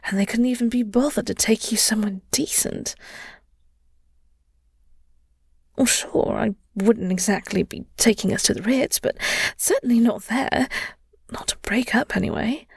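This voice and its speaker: A woman playing a flirty, tender vampire in an intimate audio roleplay, breathy and half-whispered, with a halting, teasing delivery. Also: a little high, no echo, studio quality. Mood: disapproving. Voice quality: breathy, husky. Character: flirty, gentle vampire nemesis comforting a heartbroken human.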